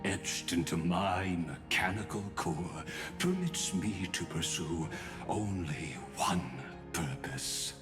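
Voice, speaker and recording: An actor playing an ancient, weary mechanical sculptor in a video game, speaking in a measured, theatrical, philosophical way with cool menace. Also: male voice, low-pitched, lively intonation. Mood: bitter, resigned. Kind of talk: scripted video game drama acting. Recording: music under the voice.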